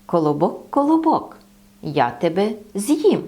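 A woman's voice: medium pitch, very animated, slow, warm tone. A woman voicing a hungry, menacing male wolf in a children's fairy tale, drawing out the words.

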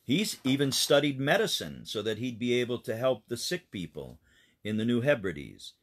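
Expressive man reading a children's story. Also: medium pitch, normal speed.

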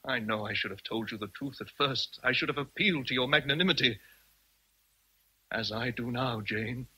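An actor playing a passionate, domineering English gentleman in a radio drama, intense, pleading and threatening. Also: mid-range voice, moderate pace, husky, poor audio. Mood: desperate, threatening.

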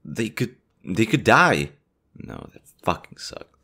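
A man's voice: lowish voice, huge pitch swings, takes it slowly, creaky, pushed and bright. A young adult man, a voice actor, swearing at himself in frustration over flubbed takes. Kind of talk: blooper outtakes.

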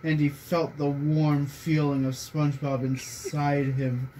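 A man's voice: fairly high pitch, level tone, takes it slowly, warm tone. A young man reading fan fiction aloud to friends, prone to breaking into laughter, recorded in a small bedroom. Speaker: reader among friends. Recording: noisy casual room recording.

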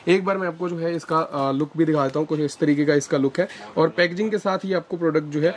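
A young man showing products in a warehouse, explaining things slowly with many fillers on a lapel mic. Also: a little high. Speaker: warehouse business owner. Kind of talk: product walkthrough. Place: large indoor warehouse with shelves.

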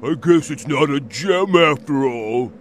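A man voicing a big, dim, loyal bird henchman in a video game, gravelly and eager. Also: on the high side, expressive, unhurried, a little hiss or hum. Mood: earnest. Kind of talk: video game cutscene acting.

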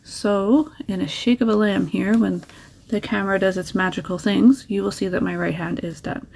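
A woman's voice: mid-range voice, fairly even intonation, moderate pace, creaky, darkish tone, husky. A woman talking casually through a nail art tutorial, chatty and encouraging, sharing little tips as she works.

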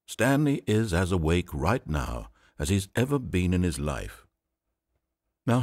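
A man voicing an omniscient, wry and theatrical narrator in a video game, reading dramatic scripted narration that swings from hushed asides to exclamations. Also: fairly low pitch, husky, no echo, studio quality.

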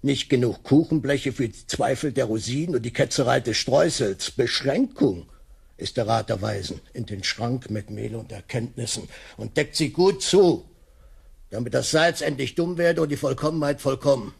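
Husky, brooding master baker. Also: male voice, mid-range voice, normal speed. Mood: grandiose, preaching. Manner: pompous, rhetorical. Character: brooding, grandiloquent master baker, timid and anxious. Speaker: radio drama actor. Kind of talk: 1980s German radio play acting.

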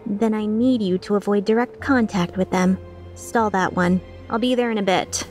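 A woman's voice: medium pitch, steady tone, moderate pace, a little mellow, soft background music, close to an everyday voice. A woman voicing a cocky female mercenary leader in a video game fan dub, playful, sarcastic and taunting with an edge of menace. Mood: sardonic.

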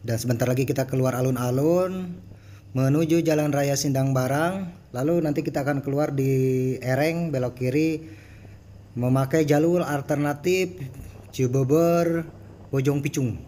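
A man's voice: mid-range voice, moderate pace, pauses often. A man, chatting casually as a motovlogger while riding a scooter outdoors, recorded on a helmet camera. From Cianjur, West Java, Indonesia. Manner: relaxed, chatty, excited on steep climbs. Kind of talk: casual motorcycle vlog narration. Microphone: helmet-mounted action camera. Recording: loud music bed under the voice.

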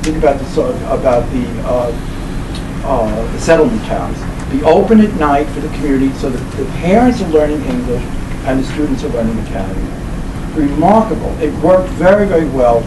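A man in his seventies giving an informal lecture, with a halting, repetitive delivery and emphatic stresses. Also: medium pitch, expressive. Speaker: lecturer, professor. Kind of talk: informal public lecture, book presentation. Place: small indoor meeting room. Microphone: camera mic a few metres away. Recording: roomy, distant mic, loud ventilation hum.

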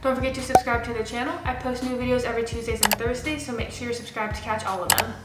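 A woman's voice: on the high side, flat delivery, quick pace. A woman, explaining fitness training to camera in a casual, direct way as a coach. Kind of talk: explanatory talking-head fitness advice to camera. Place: small bare room indoors with a window. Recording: roomy indoor echo, music underneath. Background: background music.

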